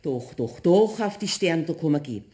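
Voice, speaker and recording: Bavarian busybody housewife in a radio play, superstitious, bossy, chatty, voice goes up and down a lot. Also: female voice, low-pitched, animated, normal speed, mellow. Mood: insistent, gossipy. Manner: chatty, emphatic, insistent. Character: superstitious, talkative, bossy but kindly housewife neighbour. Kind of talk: German radio play acting.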